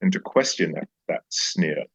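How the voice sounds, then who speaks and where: A man's voice: sits low, expressive, unhurried, fairly bright tone. A man speaking reflectively as an interview guest, emphatic and repeating phrases for effect. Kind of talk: reflective interview conversation with recited verse.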